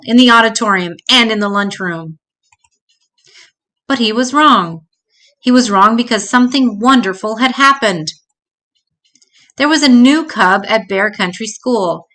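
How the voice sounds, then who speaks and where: A woman reading a children's book aloud in an expressive storytelling style, shifting her pitch to act out dialogue. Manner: acts out dialogue with changing pitch.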